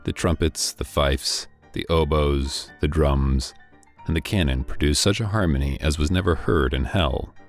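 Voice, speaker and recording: A man reading a classic novel aloud in scripted narration, with a measured, dry delivery. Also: extremely low pitch, soft background music. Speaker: audiobook reader.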